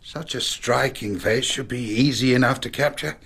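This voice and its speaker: An actor playing a bluff, jovial middle-aged doctor, hearty and clipped with old-fashioned chumminess, in a radio drama. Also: male voice, medium pitch, moderate pace, gravelly, a bit bright, no room sound. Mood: hearty, bashful.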